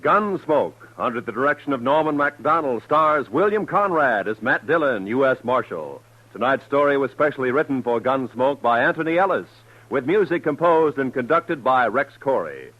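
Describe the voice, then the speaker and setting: A man giving the closing announcements of a 1950s radio broadcast in a crisp, formal announcer manner. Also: medium pitch, animated, moderate pace. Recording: dull old radio broadcast with faint music.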